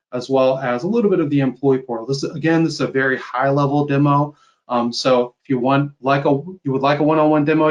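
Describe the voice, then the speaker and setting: Man presenting an informal software demo. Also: mid-range voice. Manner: explanatory, conversational, tags statements with "right?". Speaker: software company sales executive presenting a webinar.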